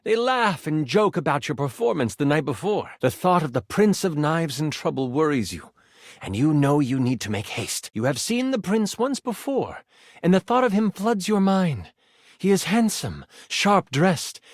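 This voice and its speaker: A playful living die running a tabletop adventure in a video game. His voice sits a little high and moves widely in pitch as he tells the story with theatrical drama and eager asides. The sound is dry and studio-clean. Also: very animated, normal speed, no echo. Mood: dramatic, suspenseful. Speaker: game master.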